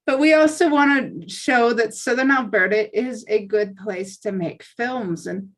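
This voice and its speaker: A woman's voice: fairly high pitch, unhurried. A woman talking about herself casually, in an earnest, assertive way. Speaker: actress and aspiring voice actor introducing herself. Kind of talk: casual self-promotion in an online group.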